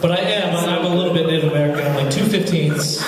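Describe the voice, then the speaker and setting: Young man, stand-up comic, crude self-mocking jokes, slightly high voice, echoey hall, distant mic, crowd laughing. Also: steady tone, quick pace. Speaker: stand-up comedian. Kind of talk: stand-up comedy set. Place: college open mic room with audience. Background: audience laughing.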